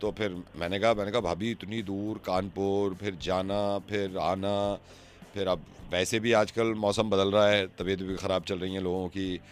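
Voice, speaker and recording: A man's voice: low-pitched, flat delivery, moderate pace, slight background noise. A man who hosts prank calls, talking casually and arguing his point insistently. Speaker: prank call radio host. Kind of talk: prank call acting, casual chat.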